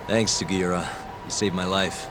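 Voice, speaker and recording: An actor playing a wry, tough investigator in a video game, talking in a dry, casual, understated way. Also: male voice, low-pitched, flat delivery, normal speed, noisy background, lightly acted. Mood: businesslike. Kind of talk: video game cutscene acting.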